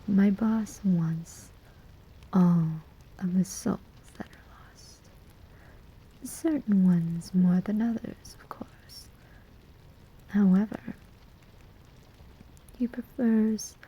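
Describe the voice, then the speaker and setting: A woman's voice: medium pitch, dark tone, exaggerated voice, low-quality recording. A woman playing a sly, amused demon collector of souls in a whispered, teasing ASMR roleplay. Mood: cool, matter-of-fact. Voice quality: breathy, whispering. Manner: slow, teasing, deliberate pauses.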